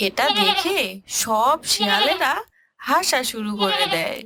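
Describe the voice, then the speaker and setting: Female narrator for children's tales: expressive, slow-paced, lively intonation, music underneath. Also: sits high, slight background noise. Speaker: storyteller, narrator. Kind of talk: scripted children's story narration.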